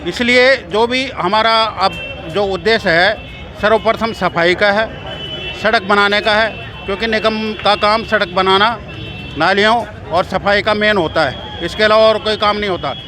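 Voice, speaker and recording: A man's voice: sits high, moderate pace, music in the background, crowd noise. A man, a party worker, speaking emphatically and complaining in a street interview.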